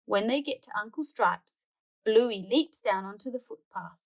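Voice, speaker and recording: A woman's voice: mid-range voice, lively intonation, a little slow, rough recording. A woman hosting a read-aloud, narrating a children's storybook expressively.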